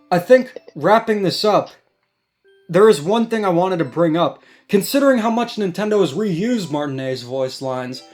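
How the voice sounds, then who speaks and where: A man hosting a casual gaming discussion, talking in a conversational way. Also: fairly high pitch.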